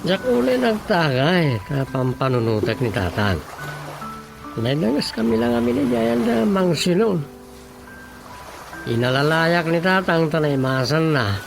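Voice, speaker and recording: A man's voice: fairly high pitch, big pitch swings, measured pace, gravelly, full character voice. Tipsy Ilocano man over music. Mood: tipsy, sheepish. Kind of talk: Ilocano radio drama comedy acting. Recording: music behind the voice.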